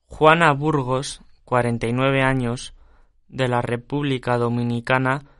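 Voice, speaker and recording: A man reading prepared text for a listening exercise, unhurried and deliberate. His voice is smooth and resonant, and the sound is dry and close. He keeps a measured, even delivery throughout. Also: mid-range voice, no echo. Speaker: audio reader for a listening exercise. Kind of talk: slow scripted reading.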